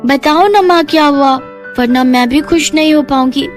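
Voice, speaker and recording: A woman's voice: high-pitched, normal speed, soft background music, natural voice. A woman voicing an eager, wistful little girl in a children's story, earnest and pleading. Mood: concerned. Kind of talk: children's moral story voice acting.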